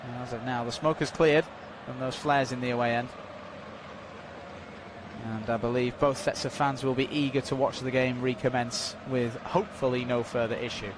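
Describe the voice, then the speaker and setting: Guy doing live football commentary, steady and informative, traffic and street noise behind him. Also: medium pitch. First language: not Dutch. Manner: measured, informative, filling time with stats and updates. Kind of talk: live sports commentary during a stoppage.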